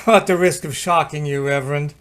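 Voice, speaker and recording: A man acts a pompous Edwardian gentleman in an old-time radio play, with a notably high voice. He is a jovial, patronising husband who talks in a genteel, teasing way. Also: a little fast, a little hiss or hum, lightly acted. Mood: amused, teasing.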